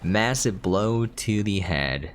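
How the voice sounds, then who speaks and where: A man's voice: on the low side, animated, takes it slowly, a little hiss or hum. A man giving casual gameplay commentary as a streamer, explaining things as he plays. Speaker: video game streamer.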